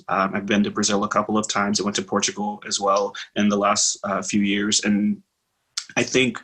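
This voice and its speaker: A middle-aged man co-hosting a casual show over a video call with a headset, telling his story in a thoughtful, measured way with fillers. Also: on the low side, pushed and bright, husky, rough recording. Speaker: co-host.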